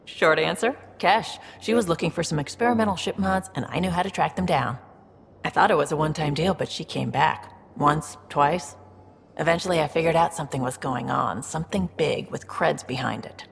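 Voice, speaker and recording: An actress playing a shrewd, wry space smuggler in a video game, with a low gravelly voice and a sly, self-assured way of talking. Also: female voice, fairly quick. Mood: frank, shrewd. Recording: reverberant room with faint background noise.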